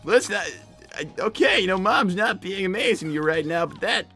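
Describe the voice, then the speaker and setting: High, cracking, flustered young fox. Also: male voice, animated, fairly quick, bright, forceful tone, faint music behind, full character voice. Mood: flustered defensive. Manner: stammering, flustered, easily exasperated. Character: flustered, defensive young fox boy Tails, cartoon big brother. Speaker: livestream co-host in character. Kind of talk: improvised character comedy livestream.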